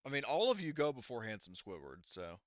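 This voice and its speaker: A man's voice: mid-range voice, very animated, brisk, no echo, muffled recording. A man working as game master, improvising narration and rulings for a tabletop roleplaying game in a casual, profane way.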